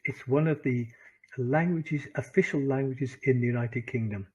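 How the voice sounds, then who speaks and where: A man with a Welsh accent teaching an online English class, animated, laughing often and repeating phrases for emphasis. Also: medium pitch, normal speed, slightly rough, muffled recording. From Wales.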